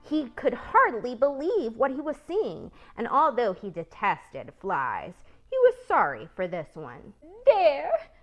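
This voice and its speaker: Animated woman reading a children's story. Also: on the high side, a little slow, hardly any pauses, dull audio. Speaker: storybook reader, channel host. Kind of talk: expressive scripted story narration.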